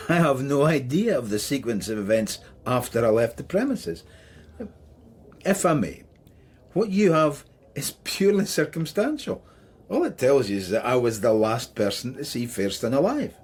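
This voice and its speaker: An actor playing a sardonic, insubordinate middle-aged Scottish police detective in a radio drama, with a deadpan manner. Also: male voice, medium pitch, normal speed, husky. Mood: dry, defiant.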